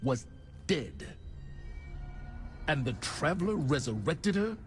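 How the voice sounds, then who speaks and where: A distrustful military commander in a video game drama. His delivery is grave and emphatic, with big swings in pitch, set over clear background music. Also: sing-song, slow pace. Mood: incredulous. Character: stern, distrustful military commander, fiercely protective.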